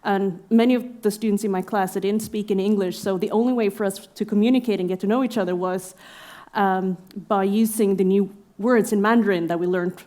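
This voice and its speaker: A woman's voice: medium pitch, fairly even intonation, brisk, few pauses, warm tone. A young woman from Norway speaking in a casual podcast conversation, reflective and thoughtful, with frequent fillers and laughter. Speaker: exhibiting artist guest.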